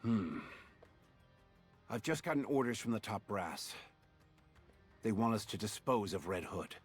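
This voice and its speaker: A man voicing a weary but idealistic military commander in a game, husky, grave and measured. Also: on the low side, expressive, soft background music. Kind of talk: scripted game voice acting.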